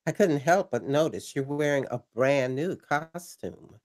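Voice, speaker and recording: A theatrical late-night pirate radio host, slow and deliberate, her pitch rising and falling dramatically, as a woman plays her. She savors each phrase and leans into the eerie parts of her story. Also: animated, a little mellow. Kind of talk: audio drama acting.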